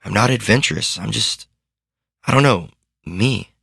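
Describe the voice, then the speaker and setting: A man's voice: lowish voice, animated, measured pace, gravelly, bright, forceful tone, husky, no echo, studio quality, voice pushed up, full character voice. A man voicing a timid young boy who talks in a hesitant, self-doubting way. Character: timid, tearful young boy, unsure of himself.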